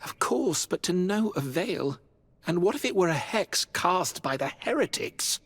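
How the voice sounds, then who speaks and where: A pious cleric in a fantasy game. He is formal and fretful, his husky male voice ringing with long reverb. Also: sits high, big pitch swings, a little slow, a bit bright, full character voice. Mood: worried, pleading. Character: anxious, pious cleric of a religious order. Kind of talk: video game dialogue acting. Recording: long reverberant hall, slightly muddied.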